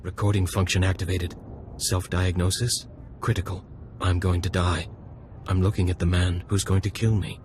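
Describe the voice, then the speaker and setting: Anxious low-voiced cyborg technician, a man acting. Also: flat delivery, moderate pace, background noise, close to an everyday voice. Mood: pained, resigned. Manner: anxious, deferential. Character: nervous cybernetic male technician underling. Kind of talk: scripted game cutscene acting.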